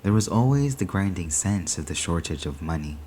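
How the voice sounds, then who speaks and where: A man reading a short story aloud in a deliberate, dramatic storytelling manner. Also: low voice, lively intonation, mellow, breathy edge. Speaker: story reader.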